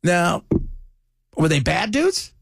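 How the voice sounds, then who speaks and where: Man, American accent, high voice, very animated, dry studio sound, opinionated talk radio host, conversational. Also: slow pace, gravelly, no room sound. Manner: conversational, rhetorical questions, mocking asides. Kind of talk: opinionated political radio commentary.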